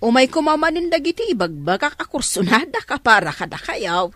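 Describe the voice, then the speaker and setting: A woman's voice: high-pitched, very animated, fairly quick, a bit bright. A woman playing a teasing, meddling older woman in an Ilocano radio drama, with an Ilocano accent.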